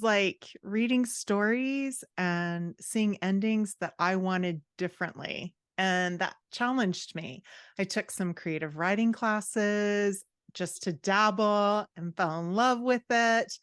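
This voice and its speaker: A woman's voice: mid-range voice, clear, resonant tone, no room sound, clean studio sound. A woman speaking as an interview guest, an author and writing coach who explains things in a conversational way.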